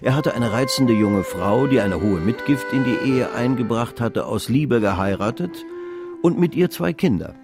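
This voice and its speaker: An actor narrating a literary radio play as a measured storyteller. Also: male voice, mid-range voice, animated, loud music behind the voice, natural voice.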